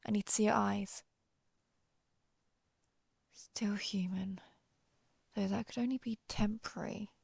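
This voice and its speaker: A centuries-old vampire huntress, acted by a woman, questions the listener in a roleplay audio drama. Her mid-pitched voice has a strong creak and sounds dry, with no room around it. She is deliberate, cool and sardonic, with menace under the words. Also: fairly even intonation, a little mellow, no echo, full character voice. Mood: wary, demanding. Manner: deliberate, interrogating, dryly threatening.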